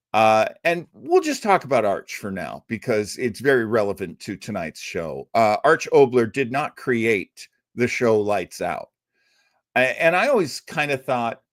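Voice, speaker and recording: Animated man hosting a radio listening show. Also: medium pitch, dry recording, clean studio sound. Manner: enthusiastic, emphatic. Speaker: host of an old-time radio listening show. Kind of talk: casual, chatty introduction to a radio show.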